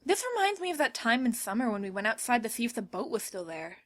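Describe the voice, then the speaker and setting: A woman playing a headstrong, sharp-tongued teenage schoolgirl leader with a brash, commanding manner in an audio drama. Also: a little high, very animated, fast talker, no room sound, studio quality. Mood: subdued, reflective.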